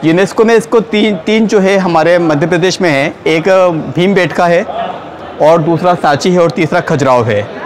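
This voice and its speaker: A man's voice: high-pitched, normal speed. A middle-aged man from Gwalior, a tourism escort, steadily listing destinations and tour packages. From Gwalior, India. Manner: steady, informative, lists places and packages. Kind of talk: casual interview answer. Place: indoor exhibition hall stall. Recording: busy expo crowd behind.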